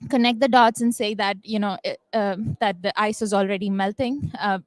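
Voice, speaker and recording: A woman's voice: medium pitch, steady tone, moderate pace, dry recording. A young woman from India speaking on a panel, hesitant, with many ums and uhs. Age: young adult. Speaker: youth panelist. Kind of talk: panel dialogue.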